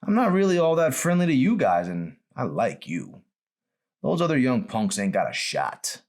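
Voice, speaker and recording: A man's voice: medium pitch, wildly swooping pitch, normal speed, a little mellow, pitched above the performer's own voice. A man reads as a frail old hospital patient in a storybook. He is ornery and fond of teasing, with a voice that rises and falls freely. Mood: grumbling, teasing. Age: older.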